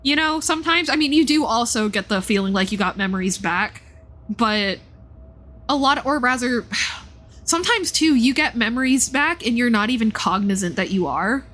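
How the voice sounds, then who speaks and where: A woman with a fairly high, very lively voice talks casually as she streams a game. She muses out loud and often cuts into her own sentences, with her pitch moving freely up and down. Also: normal speed. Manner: animated, reflective, self-interrupting. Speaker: live streamer playing and commenting on a game. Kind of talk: casual livestream commentary, thinking aloud.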